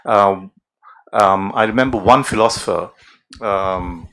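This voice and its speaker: A man's voice: lowish voice, measured pace, a bit bright. A man moderating a panel discussion, speaking thoughtfully and hesitantly with many fillers.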